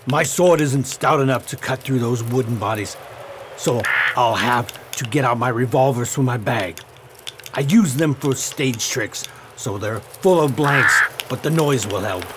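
A man's voice: medium pitch, unhurried, background noise, close to an everyday voice. A man playing a genteel, brave old stage magician in a radio play, with a formal, avuncular delivery. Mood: resolute.

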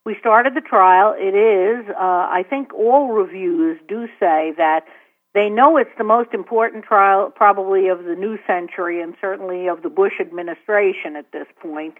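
A woman's voice: medium pitch, moderate pace. Female attorney guest on a phone line, conversational and dry. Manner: conversational, wry, explanatory. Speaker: lawyer interviewed as guest. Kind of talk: phone interview.

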